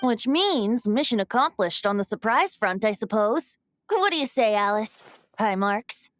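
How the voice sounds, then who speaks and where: Courteous young game heroine: composed, highish voice, lively intonation. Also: female voice, a little slow, faint music behind. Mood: playful. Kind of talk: anime-style game voice acting.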